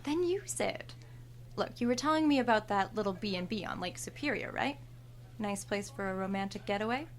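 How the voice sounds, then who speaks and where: An actress playing a sardonic, self-assured store cashier of thirty in a horror audio drama. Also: female voice, mid-range voice, animated, a little fast, natural voice. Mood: scheming, sly. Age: 30s. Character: brazen, entitled thirty-year-old store cashier, sly confidante.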